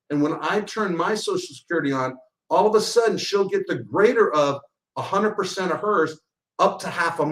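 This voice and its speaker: A man in his sixties with a slightly higher-than-usual voice walks through slides in a webinar. He talks like a chatty financial advisor, explaining step by step and often restarting his phrases. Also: rough recording.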